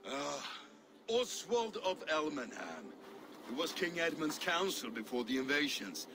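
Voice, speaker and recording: An actor playing a blunt, scornful, sardonic warrior adviser in a video game cutscene. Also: male voice, a little high, big pitch swings, unhurried. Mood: wry, blunt. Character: gruff, scornful male Danish warrior ally, blunt adviser.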